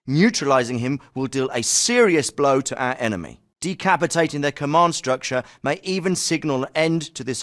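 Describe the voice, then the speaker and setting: A man's voice: mid-range voice, a bit bright, natural voice. A man voicing an authoritative, composed special forces commander in a video game, giving scripted briefings in a clipped, formal manner. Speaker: game voice actor.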